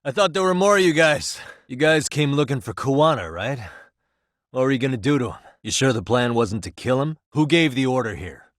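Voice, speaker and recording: A man's voice: medium pitch, animated, a little fast, no echo, close to an everyday voice. A man playing a determined, streetwise detective in a video game, dry and probing in his terse delivery. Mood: taunting, confrontational. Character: determined, streetwise male detective, dogged and wry. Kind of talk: scripted video game dialogue.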